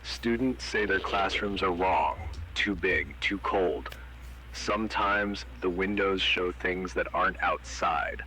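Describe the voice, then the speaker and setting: A man's voice: sits low, slow, crowd noise, poor audio. A man reading as a grim emergency broadcast announcer. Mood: ominous. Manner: flat, ominous, commanding warnings. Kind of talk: analog horror warning broadcast.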